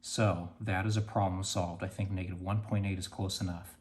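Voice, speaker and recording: A man's voice: low-pitched, level tone, brisk, darkish tone. A man hosting a hands-on radio repair tutorial, plainly explaining each step while he works in a garage workshop. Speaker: radio restoration host.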